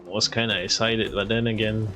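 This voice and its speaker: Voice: mid-range voice, level tone, fairly quick, fairly bright tone. A game streamer reacting casually while playing, with murmured asides and game music faintly behind.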